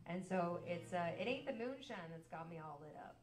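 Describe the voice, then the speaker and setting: Chatty laughing woman singer, kinda low voice, echoey little venue. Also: animated, moderate pace. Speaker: singer-songwriter and interviewer. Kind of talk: live stage chat between songs. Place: small cafe stage, indoors. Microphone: stand mic, far camera. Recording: roomy live room, far from mic.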